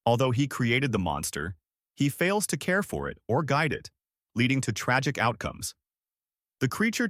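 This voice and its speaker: A male narrator reads a scripted book summary in a formal, measured way. His mid-pitched voice moves with lively intonation. The sound is very dry, with no audible room. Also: no room sound. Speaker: audiobook narrator.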